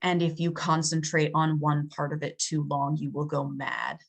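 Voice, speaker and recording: A woman improvising poetic, dramatic narration as a player in a tabletop horror game, in a measured manner. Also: sits low, flat delivery.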